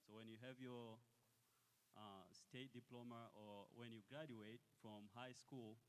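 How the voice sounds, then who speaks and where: A man's voice: medium pitch, fairly even intonation, measured pace. A young man from Congo, Kihavu his first language, answering interview questions in an earnest, explanatory way. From Democratic Republic of the Congo. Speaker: guest speaker. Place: church hall. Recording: reverberant hall with faint hiss.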